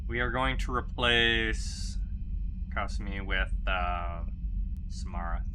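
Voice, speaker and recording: A man's voice: fairly low pitch, takes it slowly, background noise. A man streaming a video game, making casual, joking, chatty asides to viewers. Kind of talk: casual gameplay commentary.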